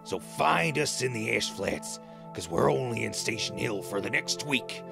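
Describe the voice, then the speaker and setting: A man playing a boastful, muscle-bound ghoul arms dealer in a hammy radio commercial within an audio drama, his voice very gravelly. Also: medium pitch, animated, normal speed. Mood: swaggering. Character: swaggering, muscle-flexing male ghoul arms dealer pitchman. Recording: music bed under the voice.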